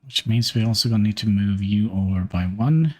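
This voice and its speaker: A man's voice: on the low side, a little mellow, soft background music. Man thinking aloud over gameplay. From Norway. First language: Norwegian. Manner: thinking aloud, halting with fillers. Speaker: streamer. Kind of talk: live gameplay commentary.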